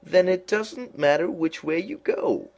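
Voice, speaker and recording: Sly storybook cat with a slow teasing drawl, put on by a male reader. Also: high-pitched, expressive, vocal fry, a little mellow, voice pushed up, full character voice. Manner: slow, drawn-out, playful delivery. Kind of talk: storybook reading.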